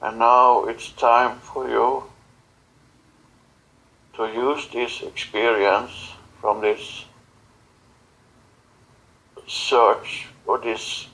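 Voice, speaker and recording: Slow, halting man channeling a spiritual message. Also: medium pitch, flat delivery, a bit bright, low-quality recording. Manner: halting, solemn, broken phrasing. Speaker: channeler in guided visualization session.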